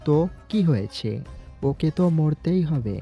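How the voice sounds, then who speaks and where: A man playing a young, coolly ruthless gangster boss in an audio story, speaking calmly and matter-of-factly. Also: fairly high pitch, a little slow, highs cut off. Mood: coolly menacing. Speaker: voice actor in an amateur audio story. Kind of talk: acted audio story dialogue. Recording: background music throughout.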